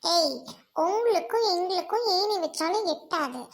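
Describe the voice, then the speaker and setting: A haughty elder sister played by a woman, the snobbish villain of a village drama. Her voice is very high, and she is curt, scornful and commanding. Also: expressive, measured pace. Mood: scornful anger. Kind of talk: village comedy-drama acting.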